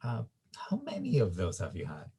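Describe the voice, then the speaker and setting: A man's voice: low voice, huge pitch swings, normal speed, warm tone, slightly rough, exaggerated voice. A man playing an easygoing, teasing young man at a comedy screenplay read, laid-back in manner. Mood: relaxed, teasing.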